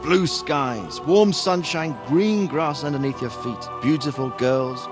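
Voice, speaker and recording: A man's voice: on the high side, slow pace, over music. A man playing a fond, teasing, worldly older mentor in a medieval video game cutscene. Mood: wistful, reassuring.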